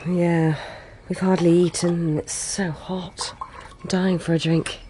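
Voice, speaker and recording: An actress playing a tired, candid young policewoman in a naturalistic radio drama, soft-spoken and casual. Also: lowish voice, little pitch movement, takes it slowly, slight background noise.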